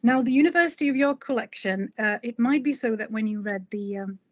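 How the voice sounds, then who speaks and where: A woman's voice: on the high side, fairly quick, no echo. Enthusiastic woman giving a talk, dry sound. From Czech Republic. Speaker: museum curator giving a talk. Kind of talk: prepared talk, informally delivered.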